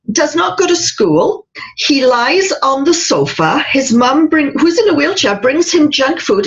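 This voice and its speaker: Woman host, emphatic and animated, kinda high voice, dull lo-fi sound. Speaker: podcast host. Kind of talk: casual interview chat.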